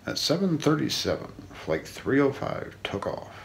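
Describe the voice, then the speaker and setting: A middle-aged man reading a scripted true-crime story as ASMR role play, in a measured, solemn documentary manner. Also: medium pitch, very animated, creaky, slight background noise. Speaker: narrator of an unsolved-mystery story. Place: small indoor room.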